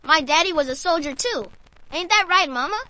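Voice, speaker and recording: Woman voicing small boy, very high pitch, bright, curious, childlike, eager, radio drama. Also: fairly quick, full character voice. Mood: eager, excited. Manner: excited, inquisitive, childlike.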